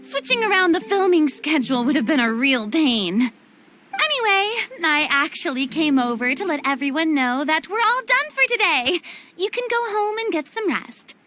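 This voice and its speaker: A woman's voice: high voice, very animated, normal speed, sharp tone, exaggerated voice. A woman playing a theatrical, exuberant young female film director in a video game, dramatic and effusive in manner. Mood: chatty, persuasive. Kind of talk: video game character acting.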